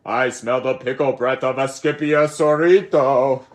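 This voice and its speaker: A storybook reader voicing a huge, blustering male dinosaur villain in a loud, dramatic way. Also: medium pitch, fairly even intonation, close to an everyday voice. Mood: menacing. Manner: loud, dramatic, drawn-out name-calling.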